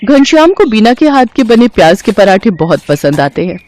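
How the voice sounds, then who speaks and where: A woman's voice: mid-range voice, big pitch swings, moderate pace, a little mellow, slight background noise. A woman reading a scripted moral story as its narrator.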